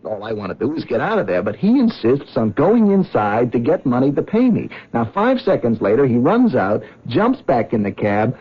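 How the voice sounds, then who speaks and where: A man's voice: mid-range voice, very animated, moderate pace, hardly any pauses, slightly rough. An actor playing an honest, talkative male cab driver in a 1970s radio drama. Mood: telling story. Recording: dull old radio broadcast.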